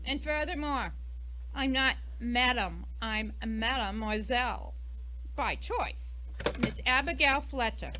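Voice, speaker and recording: A woman's voice: fairly high pitch, slow pace, bright, forceful tone, slight background noise, poor audio. A woman playing a brash, defensive, indignant American murder suspect in an old-time radio mystery, with a snappy, huffy delivery. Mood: huffy, bossy.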